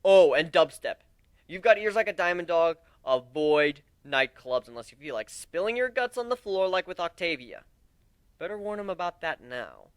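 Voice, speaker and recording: A hot-headed young griffin, acted by a man for a storybook reading. The voice is sarcastic and exclaiming, swinging widely in pitch, and the sound is dry, with no room on it. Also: lively intonation, normal speed, clear, resonant tone, dry recording. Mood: brash, animated. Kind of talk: dramatic reading of fan fiction.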